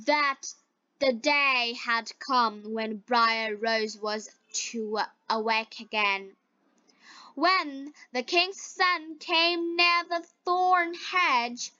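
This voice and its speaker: A woman reading a fairy tale as scripted narration for language learners, in halting, deliberate short phrases. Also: fairly high pitch, sharp tone, clear, resonant tone, no room sound, highs cut off. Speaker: storyteller for English learners.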